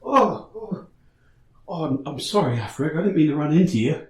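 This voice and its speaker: A man's voice: on the high side, lively intonation, normal speed, lightly acted. Man acting a folksy, echoey down-and-out beggar. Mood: apologetic, pleading. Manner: apologetic, effusive, folksy. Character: grateful, down-on-his-luck street man, humble and folksy. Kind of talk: audio drama acting.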